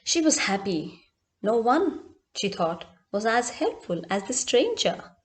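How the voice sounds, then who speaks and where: A woman with a fairly high voice tells a story aloud. She delivers it expressively, in short phrases with dramatic emphasis. Key words are often repeated for effect. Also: big pitch swings, slow.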